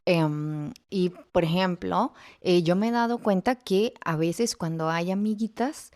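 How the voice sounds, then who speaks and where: A woman hosting a podcast interview, gently prompting her child and offering words when she gets stuck. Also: on the low side, measured pace. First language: Spanish. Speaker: podcast host, mother.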